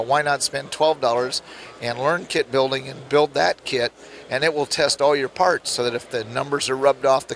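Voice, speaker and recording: A man with a rough throat, explaining things casually as an interview guest. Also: mid-range voice, fairly bright tone. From Nebraska, United States. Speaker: interview guest, electronics kit hobbyist.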